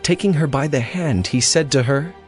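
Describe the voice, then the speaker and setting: Male Bible narrator over soft music. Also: fairly high pitch, moderate pace. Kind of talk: scripted dramatized Bible narration.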